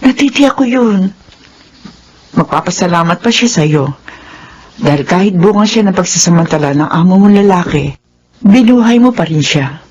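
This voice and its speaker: A woman's voice: fairly low pitch, expressive, moderate pace, pauses often, a little mellow, breathy edge. A woman playing a supportive, motherly married woman in a radio drama, earnest and reassuring. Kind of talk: Filipino radio drama acting. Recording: muffled band-limited sound with background music.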